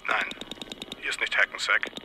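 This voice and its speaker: An actor playing a wary stranger answering a telephone in a radio crime drama. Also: male voice, moderate pace, over music, natural voice. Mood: wary, curt. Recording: noisy, crackling phone call.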